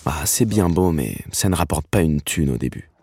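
Very deep-voiced man narrating. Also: lively intonation, background noise. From Paris, France. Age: 30s. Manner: wry, slangy, dramatic pauses. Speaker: narrator telling his own life story. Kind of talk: scripted first-person narration.

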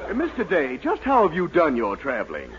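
A man's voice: a little high, wildly swooping pitch, a little fast. A man playing a fussy, wryly indulgent postal examiner in a formal 1940s radio sitcom manner. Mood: wryly exasperated. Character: fussy, amused, exasperated male postal examiner. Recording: muffled, very noisy 1940s radio recording, loud audience.

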